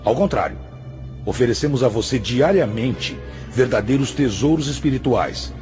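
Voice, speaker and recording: A man's voice: medium pitch, expressive, moderate pace, darkish tone, natural voice. A man acting in a radio drama as a stern, patient spiritual master, speaking in a solemn, admonishing and didactic way. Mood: firm reproach. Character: stern, patient male spiritual master, grave moral counselor. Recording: music under the voice.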